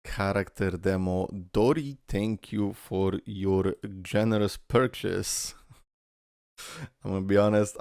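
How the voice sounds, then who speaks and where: Low, gravelly man reacting to game trailers. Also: dry recording, clean studio sound. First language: Polish. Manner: excitable, rambling, full of fillers. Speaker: reaction video host. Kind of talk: casual game reaction commentary.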